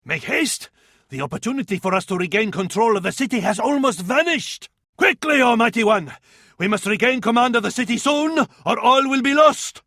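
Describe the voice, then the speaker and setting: An actor with an Arabic accent playing a fervent, scornful medieval advisor to a sultan in a video game, in a florid, declamatory style. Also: male voice, very high-pitched, expressive, moderate pace, sharp tone, dry recording, clean studio sound, full character voice. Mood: alarmed, urgent. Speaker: battle advisor in a strategy game. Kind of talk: theatrical video game voice acting.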